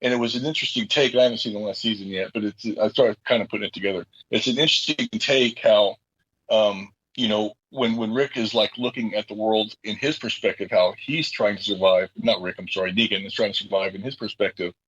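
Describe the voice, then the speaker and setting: A man's voice: lowish voice, fairly even intonation, brisk, a bit bright, husky, no echo, muffled recording. A man giving casual, unscripted interview answers as a guest voice actor, rambling on in a chatty, earnest way.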